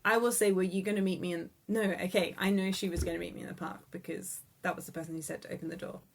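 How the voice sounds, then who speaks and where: A woman playing a tabletop role-playing game, talking in a lively, playful way. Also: on the low side, fast talker, gravelly. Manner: lively, playful, laughs often. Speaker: player. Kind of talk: casual tabletop play.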